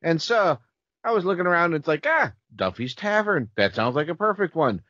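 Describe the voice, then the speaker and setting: A man's voice: on the high side, very animated, brisk, a bit bright, no echo. A man chatting casually as a podcast co-host. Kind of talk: casual chat. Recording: dull, lo-fi podcast audio.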